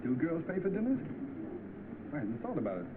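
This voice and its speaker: Smooth-talking British chancer, tinny old film. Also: male voice, on the high side, wildly swooping pitch, fairly quick, very noisy. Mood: charming. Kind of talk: mid-20th-century British film acting. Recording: tinny old film soundtrack, loud music.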